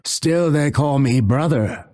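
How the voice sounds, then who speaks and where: A man playing a brooding, vengeful male assassin in a video game, with a husky voice and a measured, theatrical, menacing delivery. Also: fairly high pitch, dark tone. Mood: bitter defiance. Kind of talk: dramatic game character voice lines.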